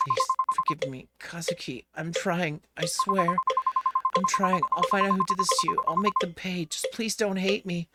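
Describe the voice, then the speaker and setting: A guilt-ridden, distraught horror protagonist acted by a man begs in a raised, strained pitch. The words stumble and repeat in pleading bursts. Loud music plays behind the voice. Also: fairly even intonation, normal speed, close to an everyday voice. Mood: desperate pleading. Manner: pleading, stammering, repeating phrases. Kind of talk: dramatic horror story acting.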